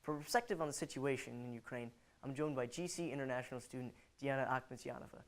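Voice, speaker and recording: A man's voice: medium pitch, fast. A man anchoring a student news show, reading scripted lines and asking loose interview questions padded with "you know".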